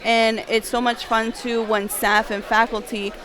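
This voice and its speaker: A woman's voice: on the high side, little pitch movement, unhurried, poor audio. A woman from Massachusetts chatting casually and enthusiastically as an interview guest. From Massachusetts, United States. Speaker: interview guest, school guidance staff. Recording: busy crowd chatter behind.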